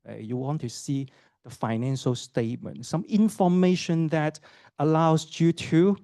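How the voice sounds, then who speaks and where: A man's voice: on the high side, fairly even intonation, measured pace, dark tone, no room sound, clean studio sound. A middle-aged man from Hong Kong, an associate professor giving a university admissions talk to students and parents. He speaks conversationally, puts questions to the audience and keeps adding fillers and "right?" tags.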